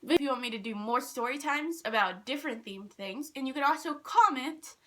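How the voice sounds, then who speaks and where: A young woman telling personal stories in a casual, animated, rambling and self-deprecating way. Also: high-pitched, fairly quick. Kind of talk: casual storytime chat.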